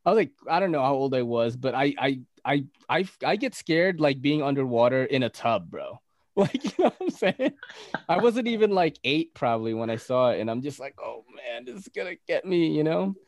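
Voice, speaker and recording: A man with a Filipino accent hosts a podcast in relaxed, slangy banter. He laughs easily and talks at a middling pitch. His voice sounds close and dry. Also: fairly quick, dry recording. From Philippines. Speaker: podcast host. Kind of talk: casual chat.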